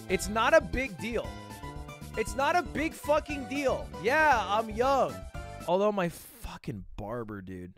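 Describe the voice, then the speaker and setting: A man in his twenties chatting casually with viewers on a live stream, animated and swearing freely. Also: sits high. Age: 20s.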